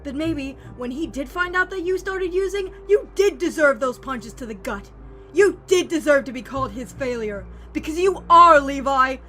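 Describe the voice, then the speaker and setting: A woman's voice: sits high, lively intonation, moderate pace, bright, forceful tone. A woman playing a furious, wounded young sister in an audio drama, accusing and cutting, with swearing and music behind her. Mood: scornful anger. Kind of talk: emotional audio drama acting. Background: faint background music.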